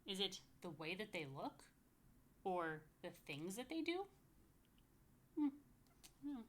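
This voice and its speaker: A woman's voice: medium pitch, big pitch swings, slow, slight background noise. An American woman reading a picture book aloud to young children, with chatty asides and playful questions to her listeners. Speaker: rabbi, story time host for young children.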